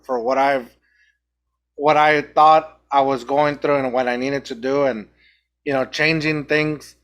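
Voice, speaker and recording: A man's voice: medium pitch, fairly bright tone. A young man from Mexico with a Mexican accent, hosting a podcast in a casual, reflective chat and often restarting his sentences. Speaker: podcast host.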